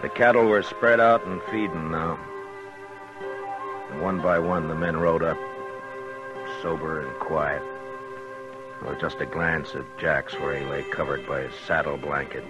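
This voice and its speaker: An American actor playing a sober, plain-spoken Old West marshal in a 1950s radio western, with a measured, understated delivery. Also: male voice, extremely low pitch, steady tone, highs cut off, over music. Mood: somber narration. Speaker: lead actor and narrator. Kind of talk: 1950s radio western acting, narration and dialogue. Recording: vintage 1950s radio recording.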